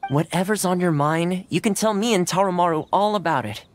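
A man's voice: sits high, fairly quick, slight background noise, natural voice. An actor playing a friendly, caring, patient young man in a video game, with a casual, coaxing manner. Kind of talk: video game character dialogue. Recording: music under the voice.

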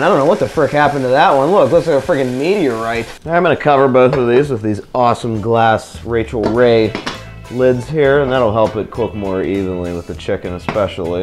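A young man talks his viewers through a stir-fry in a home kitchen, loose and slangy, like he's chatting with a friend. His voice sits at an ordinary middle pitch. Faint music plays under him, and a pan sizzles. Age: young adult. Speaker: vlogger. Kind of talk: casual cooking vlog.

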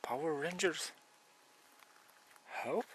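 Slow playful man with a Polish accent. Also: fairly high pitch, a bit bright, a little hiss or hum, low-quality recording. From Poland. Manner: playful, broken English, slow and exclaiming. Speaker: cyclist vlogger. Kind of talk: joking phone-call vlog. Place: outdoors on a country cycle path. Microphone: action camera on helmet or bike.

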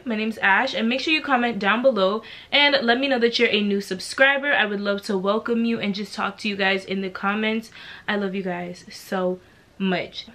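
A woman's voice: mid-range voice, a bit bright. Chatty young woman vlogger. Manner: upbeat, affectionate, chatty. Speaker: beauty and lifestyle vlogger. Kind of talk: casual chatty vlog to viewers. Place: bedroom, then car, then store.

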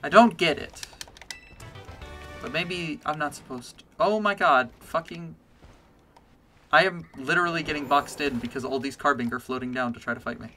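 A man streaming a game with a bass voice that still sounds bright and forceful, talking casually at a home desk mic. He gets excited and begs the game to go his way. Soft game music plays underneath. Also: normal speed, pauses often. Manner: chatty, easily excitable, pleads with the game. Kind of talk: casual gameplay commentary. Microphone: desk mic with webcam.

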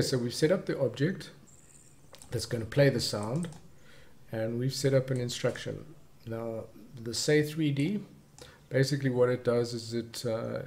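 A man presenting a casual software tutorial, explaining things and defining terms as he goes. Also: medium pitch, vocal fry.

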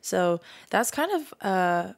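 A young woman hosts her podcast from a living-room couch, chatting earnestly with a slight rasp from a worn voice. She rambles a little and leans on filler words, speaking at a middling pitch to a camera set back from her. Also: big pitch swings, slow. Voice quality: slightly raspy. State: hoarse from screaming. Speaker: podcast host. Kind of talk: casual faith podcast monologue.

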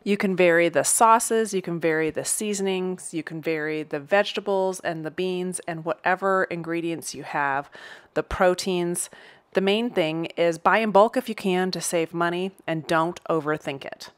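A woman's voice: fairly low pitch, moderate pace. A woman in her forties giving casual, practical meal prep advice to camera from her home kitchen. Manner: conversational, practical, upbeat. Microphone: webcam or laptop at close range. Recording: echoey room sound.

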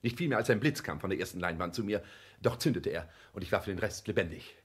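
An actor playing a polished, calculating social climber in a 1960s German radio play, with formal, rhetorical speech. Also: male voice, low-pitched, lively intonation, quick pace. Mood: suave.

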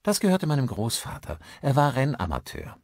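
A man's voice: mid-range voice, wildly swooping pitch, normal speed, no echo, studio quality, pitched above the performer's own voice. A man voicing a friendly, married village pastor in an audiobook, courteous and talkative. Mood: chatty.

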